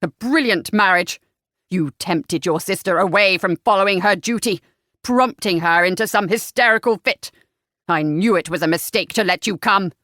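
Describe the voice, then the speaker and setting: A blustering middle-aged gentleman of a historical romance , voiced by a female narrator. He is stern, haughty and accusing, barking and spitting his words. The sound is close and dry. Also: mid-range voice, normal speed, no echo, lightly acted. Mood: furious. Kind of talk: historical romance audiobook acting.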